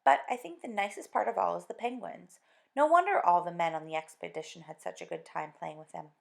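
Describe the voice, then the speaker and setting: Woman voices an earnest, excitable husband; lively, slightly gravelly. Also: medium pitch. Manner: enthusiastic, earnest. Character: eager dreamy middle-aged husband.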